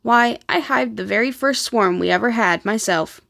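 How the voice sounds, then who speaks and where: A woman's voice: on the high side, normal speed, creaky, fairly bright tone. A woman voicing a genteel, plain-spoken elderly grandmother in a storybook, telling her memories in a composed, old-fashioned way. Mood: reminiscing.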